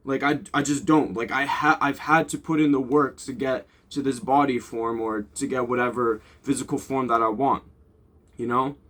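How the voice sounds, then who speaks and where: Rambling, blunt young man talking casually. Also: medium pitch. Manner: rambling, informal, blunt with swearing. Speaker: motivational vlogger. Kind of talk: casual off-the-cuff self-improvement talk.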